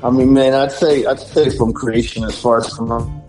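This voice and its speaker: A man's voice: medium pitch, fairly even intonation, moderate pace, over music. A man in his forties from Argentina, raised in Miami, talking loosely as a guest rapper over a phone call. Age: 40s. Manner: laid-back, rambling, calls people brother. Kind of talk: casual interview over phone. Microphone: phone line.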